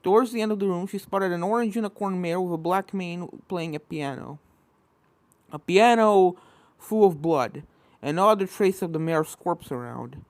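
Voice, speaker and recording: A woman's voice: on the low side, moderate pace, no echo. A woman reading a scripted fan fiction story as narrator, with spooky video game music behind her.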